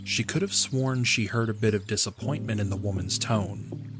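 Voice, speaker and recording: A man's voice: lowish voice, moderate pace. A man reading scripted story narration for an audio drama, in the manner of an audiobook. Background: background music.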